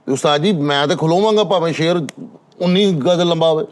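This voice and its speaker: A man's voice: fairly high pitch, a little fast. A man with a Pakistani Punjabi accent telling tales casually as a panellist, insistent and boastful. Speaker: talk show panellist. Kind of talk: casual storytelling.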